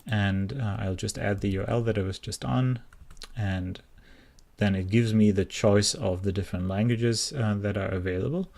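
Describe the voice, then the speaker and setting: Man, deep voice, screencast walkthrough, plain explaining, frequent fillers. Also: moderate pace, darkish tone. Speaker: software project founder giving a demo.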